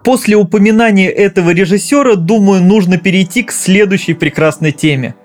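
Casting: man, fairly high voice, film critic reading a video essay with conversational asides, light music behind. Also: brisk. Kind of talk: scripted commentary with conversational asides.

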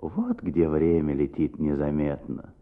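A man's voice: extremely low pitch, huge pitch swings, measured pace, phone-quality sound, full character voice. A man acting as the reflective narrator of a children's radio play, telling the tale expressively. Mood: storytelling. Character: warm reflective male storyteller of a children's tale.

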